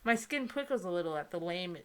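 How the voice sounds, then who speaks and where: A woman's voice: on the low side, animated, fast talker, a bit bright. Giggly, sarcastic young-sounding woman bantering. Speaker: co-host of a comedy book-reading video. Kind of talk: casual banter and mock dramatic book reading.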